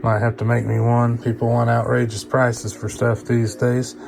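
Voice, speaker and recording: A man's voice: medium pitch, monotone, moderate pace, vocal fry, over music. A man giving a casual hands-on equipment demonstration, folksy and colloquial, muttering to himself as he works. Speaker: video host reviewing a vintage CB radio.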